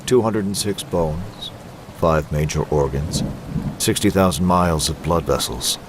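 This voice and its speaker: A man playing a grim, relentless vigilante hero in a motion comic, speaking with controlled intimidation over loud dramatic music. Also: deep voice, unhurried, background noise. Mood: cold resolve. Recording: loud dramatic score and storm behind voice.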